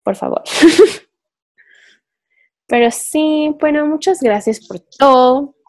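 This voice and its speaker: A woman's voice: sits high, slow, a little mellow. A young woman, co-hosting a podcast and rambling through a casual philosophical chat, thinking aloud with many fillers. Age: young adult. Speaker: podcast co-host.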